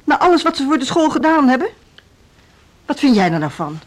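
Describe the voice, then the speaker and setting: Radio drama: a stubborn female school leader, fairly high voice, dismissive and suspicious. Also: very animated, fairly quick. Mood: indignant. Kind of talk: Dutch radio play acting.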